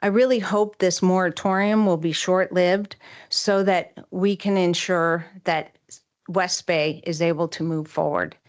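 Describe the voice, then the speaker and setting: A middle-aged woman giving a prepared public comment to a city council, measured and citing figures earnestly. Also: on the low side, steady tone.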